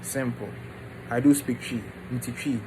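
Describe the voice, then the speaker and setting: Man speaking haltingly over background noise. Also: medium pitch, fairly even intonation. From Ghana and the United States. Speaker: vlogger introducing his book. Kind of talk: informal personal storytelling to camera.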